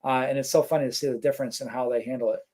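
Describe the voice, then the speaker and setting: Male co-host, mid-pitched, easygoing, reads chat aloud, filler-heavy. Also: rapid-fire. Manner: relaxed, rambling, many fillers. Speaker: co-host reading live chat. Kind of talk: casual live-stream chat.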